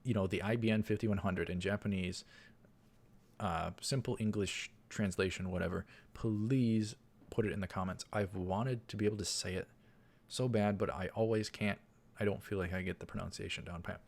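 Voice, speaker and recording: A man's voice: fairly low pitch, steady tone, normal speed, vocal fry, darkish tone. A man hosting a let's play, reading a visual novel aloud with rambling, enthusiastic commentary. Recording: game music behind the voice. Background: video game soundtrack.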